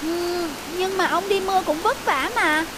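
A woman's voice: very high voice, steady tone, a little slow, background noise. A woman voicing an eager, chatty, slightly whiny little child in a cartoon. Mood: concerned. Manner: childish, chatty, polite to elders. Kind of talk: cartoon voice acting. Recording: music playing behind the voice.

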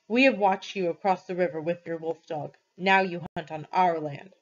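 Lady reading as big gruff-tempered hunt leader guy from a storybook, curt and bossy, sound a bit dull. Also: lowish voice, moderate pace, natural voice. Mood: accusing. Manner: commanding, curt.

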